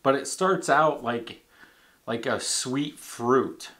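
A man in his thirties giving a casual beer tasting review at a home bar, thinking aloud with halting restarts. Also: medium pitch, a bit bright. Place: home bar in front of a banner.

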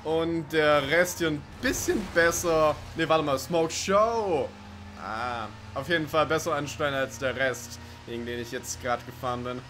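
A man's voice: a little high, moderate pace, a bit bright, a little hiss or hum. A man giving casual, rambling, sweary let's play commentary over racing game sounds. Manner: rambling, sweary, joking to himself. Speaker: gaming video commentator.

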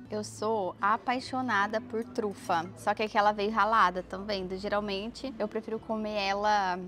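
A woman's voice: mid-range voice, fairly bright tone. A young woman with a Brazilian Portuguese accent, chatting to camera as a travel vlogger while tasting food in a restaurant, on a lapel mic. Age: young adult. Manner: chatty, tasting aloud with murmurs. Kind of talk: casual food vlog to camera. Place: restaurant terrace, indoors.

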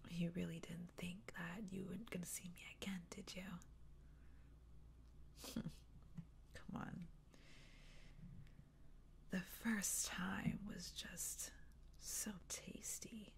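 A young woman playing a hungry, teasing female vampire for an ASMR role play, whispering slowly and playfully up close in a small room. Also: fairly low pitch, fairly even intonation, vocal fry, heavily acted. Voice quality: soft whisper. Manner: slow, drawn-out, playful taunting. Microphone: close camera, face framed near.

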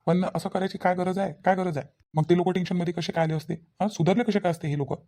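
A man's voice: sits high, steady tone, fast talker, mellow. A man voicing a principled young friend in a village cartoon, scolding insistently with repeated questions in a Varhadi Marathi accent. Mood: insistent, disapproving. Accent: Varhadi Marathi (Vidarbha). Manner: reproachful, repetitive, insistent questioning.

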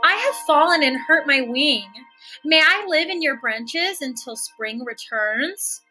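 A woman's voice: high voice, animated, unhurried, pushed and bright, faint music behind, voice pushed up, exaggerated voice, low-quality recording. A woman voicing a small injured female bird, polite and pleading, in a storybook.

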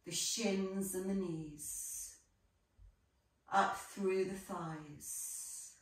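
A woman yoga teacher leading a guided relaxation with gentle, measured phrases and pauses between them. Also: medium pitch, fairly even intonation. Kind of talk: guided relaxation, soothing instruction. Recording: echoey room, distant microphone.

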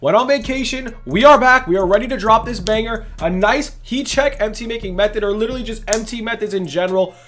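Hoarse hyped young man gaming. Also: high voice, quick pace, hardly any pauses, a bit bright. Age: young adult. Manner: hyped, rambling, says "literally" and "bro" constantly. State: losing his voice. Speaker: gaming channel host. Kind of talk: casual gaming commentary. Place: indoors at a gaming setup, face cam.

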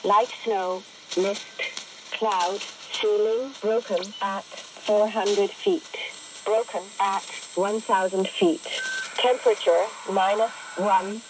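A woman's voice: mid-range voice, fairly even intonation, slow pace. A woman reading formulaic aviation weather reports in clipped, segmented, impersonal phrases over shortwave radio. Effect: shortwave single-sideband radio. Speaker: aviation weather broadcast announcer. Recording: noisy shortwave radio reception. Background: radio static and noise.

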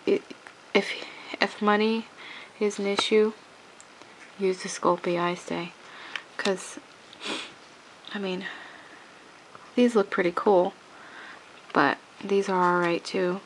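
Soft-spoken sniffly woman with a gravelly edge. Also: mid-range voice, steady tone, slight background noise, low-quality recording. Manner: rambling, halting, with many ums and restarts. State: sniffly with a cold. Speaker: craft tutorial maker. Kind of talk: casual hands-on tutorial. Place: home craft table, indoors.

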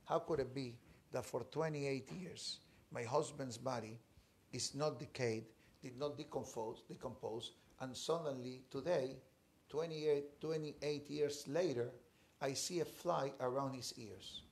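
A rabbi tells a story to his class, seated before a fixed camera in a small study. He speaks in an animated, emphatic way, leaning on dramatic pauses. His voice sits at an ordinary middle pitch for a man. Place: study room with bookshelves, indoors. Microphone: fixed camera, seated at desk.

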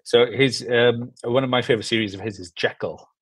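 A man's voice: medium pitch, a little fast, breathy edge, dry recording. A young man from South Wales with a South Welsh accent, chatting casually and enthusiastically as an interview guest, often repeating words for emphasis. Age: 20s or 30s. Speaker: film director, interview guest. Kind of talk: casual chat. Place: small room at home, video call. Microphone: video call, earbuds.

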